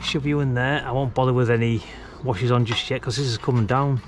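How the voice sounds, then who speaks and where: A man's voice: medium pitch, steady tone, fast talker, noisy background. A middle-aged British man giving a casual hands-on DIY tutorial, rambling and muttering asides as he works. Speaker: DIY vlogger demonstrating antenna mast installation. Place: outdoors by a brick house wall.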